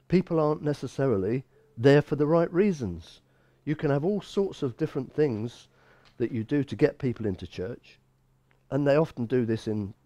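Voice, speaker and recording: A man's voice: fairly high pitch, dark tone, dry recording. A man in his sixties giving an expository Bible teaching sermon as a pastor, emphatic and given to repeating phrases. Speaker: bishop and pastor. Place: small church room, indoors.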